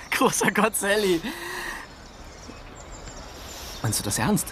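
Earnest, mild young man, animated light voice, hesitant radio-play delivery, faint noise. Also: high voice, takes it slowly, heavily acted. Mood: alarmed, concerned. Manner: hesitant, concerned, conciliatory. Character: earnest, mild-mannered young male writer and companion. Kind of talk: radio drama acting.

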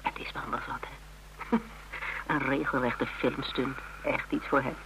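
A man's voice: mid-range voice, steady tone, measured pace, natural voice. A man acting a shaken but wry survivor of a killer, colloquial and downplaying danger, in a radio crime drama. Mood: rueful. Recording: noisy radio drama recording.

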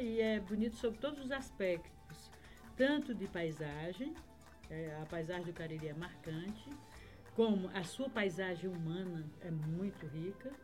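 A woman's voice: fairly low pitch, a little mellow. A woman from Acre, Brazil, a podcast guest, reminiscing in a rambling way with many fillers and tag questions. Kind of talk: casual conversation, reminiscing.

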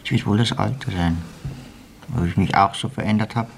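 A man's voice: lowish voice, normal speed, creaky, slightly rough. A man acting in a radio play as an aging, philosophical, needling comrade who muses and repeats his phrases. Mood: musing.

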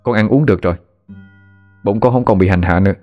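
A man's voice: lowish voice, fast, a little mellow, soft background music, close to an everyday voice. A man as an earnest young son. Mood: reassuring.

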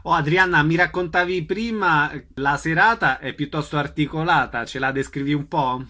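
A man's voice: on the high side, moderate pace, sharp tone. A man hosting a radio interview, introducing the guest and putting short questions.

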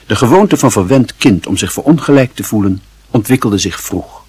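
A man narrating a literary radio drama in a solemn, measured and expressive manner. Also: on the low side, slightly rough, dry recording.

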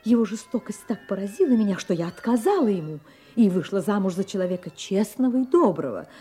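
A woman's voice: medium pitch, sing-song, brisk, dark tone, slightly rough, faint music behind. An actress playing an indignant older mother with an old grudge, theatrical and fluttery, sighing and repeating her words in an audio play. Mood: dramatic, horrified. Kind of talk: Russian audio drama acting.

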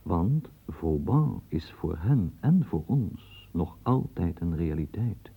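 A man's voice: sits low, very animated, slow pace, gravelly, breathy edge. A man narrating a radio play and guiding the listener through the scene like a storyteller. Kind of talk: scripted radio drama narration. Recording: tinny lo-fi old radio recording.

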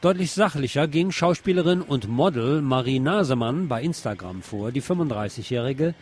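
Male presenter, mid-pitched voice, reading scripted news commentary with music underneath. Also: expressive, moderate pace, darkish tone.

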